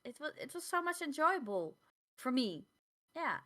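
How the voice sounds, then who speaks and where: A woman co-hosting a podcast in casual, halting discussion, repeating phrases for emphasis. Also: sits high, sing-song, pushed and bright. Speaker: podcast co-host.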